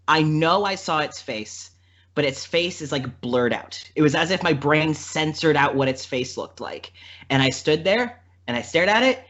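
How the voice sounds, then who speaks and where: A man's voice: a little high, fairly bright tone. A man from the American Midwest, a podcast guest telling stories casually in an earnest, emphatic way that repeats phrases. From Midwestern United States. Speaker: podcast guest, audio drama creator. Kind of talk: casual anecdotal storytelling. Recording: dull lo-fi audio.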